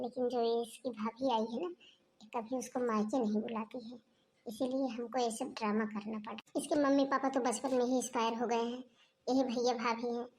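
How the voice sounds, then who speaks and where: A woman voicing a scheming, sharp-tongued older mother-in-law in a homemade family skit, talking in a scolding, chatty way. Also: fairly high pitch, a little hiss or hum, natural voice. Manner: scolding, talkative.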